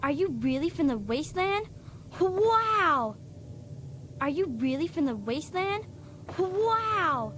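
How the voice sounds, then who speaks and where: A woman's voice: extremely high pitch, sing-song, takes it slowly, fairly bright tone, slight background noise, full character voice. A woman voicing a curious, naive young child in a video game. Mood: curious, amazed. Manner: eager, naive. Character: curious, wide-eyed sheltered young child. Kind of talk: video game character dialogue.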